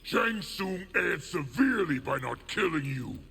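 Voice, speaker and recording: An actor playing a haughty, highborn rebel general in a video game, forceful and coldly righteous. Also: male voice, lively intonation, measured pace, gravelly, exaggerated voice. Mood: haughty, menacing. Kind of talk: video game villain dialogue. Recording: long hall reverb, faint noise.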